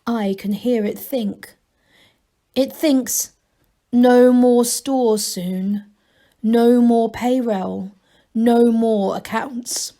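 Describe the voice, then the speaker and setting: A woman voicing a thinking computer in a storybook, patient and literal, in short, deliberate sentences. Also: a little high, steady tone, mellow. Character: thinking computer box, literal, patient, simple-worded machine.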